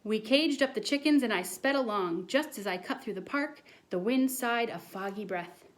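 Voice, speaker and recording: Woman as an earnest, excitable schoolboy. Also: fairly high pitch, moderate pace, close to an everyday voice. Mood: excited storytelling. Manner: insistent, dramatic storytelling. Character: earnest, excitable schoolboy telling a tall tale.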